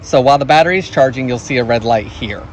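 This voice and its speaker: A man presents a product in a casual review style. His voice sits at a medium pitch for a man. He explains each feature plainly, one step at a time. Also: fast talker, muffled recording, slight background noise.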